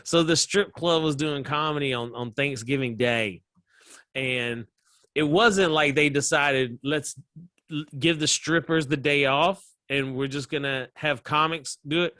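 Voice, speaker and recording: A man with a Southern American accent, a comedian telling animated stories in a casual interview and laughing often. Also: fairly high pitch, measured pace, no room sound. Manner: animated storyteller, laughs often, acts out voices. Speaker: comedian guest.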